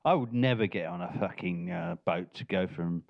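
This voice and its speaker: A man's voice: low-pitched, moderate pace, creaky, no room sound. Man from Norfolk, podcast host, swears and teases, dry dull sound. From Norfolk, England. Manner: swearing, teasing, laughing often. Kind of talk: casual chat.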